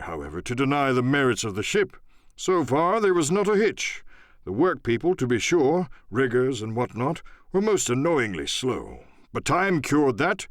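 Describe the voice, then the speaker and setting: A pompous English country squire , acted out by a male narrator holds forth in an audiobook. He is excitable, boastful and effusive, his pitch leaping about. The sound is dry and close. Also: no echo. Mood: indignant, pleased.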